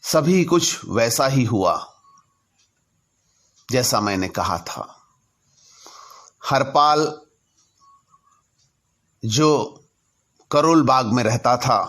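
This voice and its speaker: Male narrator for anecdotes: medium pitch, unhurried and deliberate, phrase by phrase. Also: fairly bright tone. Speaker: narrator telling his own anecdotes. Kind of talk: storytelling narration.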